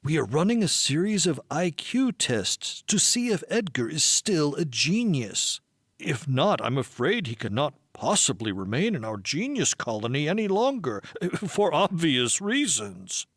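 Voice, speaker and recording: A man's voice: fairly high pitch, big pitch swings, unhurried, fairly bright tone, no room sound, clean studio sound, heavily acted. A man voicing a stately old male colony patriarch with a formal, pompous manner in an audiobook. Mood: pompous, fond. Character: Grandfather Genius, stately old colony patriarch, solemn and grandiose. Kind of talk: audiobook character voice.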